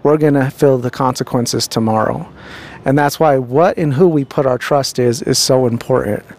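A man's voice: medium pitch, moderate pace, a little mellow. A young man with a handheld mic interviewing people outdoors on a city street, talking earnestly and preachily with many fillers and restarts.

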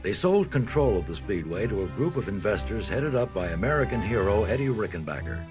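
A man's voice: lowish voice, sing-song, fairly quick, over music. A man delivering polished, scripted narration for a documentary.

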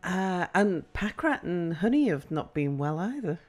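A woman hosts a livestream chat, her voice slightly croaky from a cold. She speaks slowly and with a lively, rising and falling pitch, and she keeps encouraging her guest. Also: soft background music. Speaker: host.

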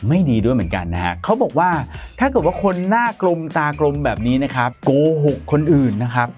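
A man in his thirties explains a topic straight to camera, his pitch rising and falling with lively swings. He keeps a polite, chatty tone, recorded close on a lapel mic in a studio with soft music faintly underneath. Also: a little fast. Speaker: presenter. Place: bright studio in front of a plain grey backdrop.

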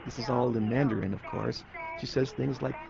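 Man, casual interview talk, fry in the voice, wry, dull audio. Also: medium pitch, steady tone, brisk. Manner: wry, colloquial. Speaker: interviewee, writer. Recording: muffled, with crowd-like noise behind.

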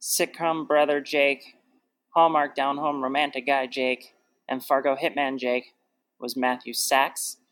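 A man's voice: mid-range voice, level tone, measured pace, a bit bright. A man reading out cast credits in a scripted announcing style.

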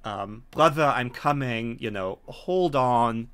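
A man's voice: mid-range voice, lively intonation, slow pace, fairly bright tone, smooth. A middle-aged man narrating as game master, explaining things in hesitant phrases. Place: indoor home study, video call.

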